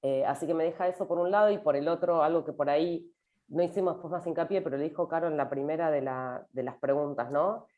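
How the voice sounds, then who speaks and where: Very low-voiced woman panelist, lo-fi audio. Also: fast talker. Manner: lively, hesitant phrasing with fillers. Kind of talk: panel discussion. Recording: dull lo-fi audio.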